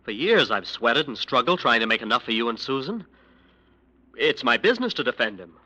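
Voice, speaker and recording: An actor playing a smooth-talking, defensive lawyer in a 1940s radio drama. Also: male voice, mid-range voice, very animated, a little fast, bright, forceful tone, rough recording. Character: defensive, self-justifying male lawyer.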